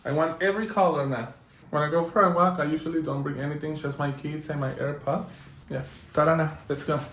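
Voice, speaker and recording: Un hombre joven de Venezuela, con acento venezolano, charla de forma franca y relajada mientras camina al aire libre y se corrige a menudo. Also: male voice, a little high, steady tone, fairly quick, fairly bright tone, low-quality recording. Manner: chatty and candid, with frequent restarts. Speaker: vlogger. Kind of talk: casual vlog chat. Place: outdoors on a walk. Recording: outdoor noise with passing traffic.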